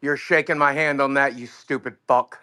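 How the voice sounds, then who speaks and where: A man on a livestream yelling angrily and swearing in a heated argument. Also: medium pitch, fairly even intonation, moderate pace, clean tone. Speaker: livestream co-host.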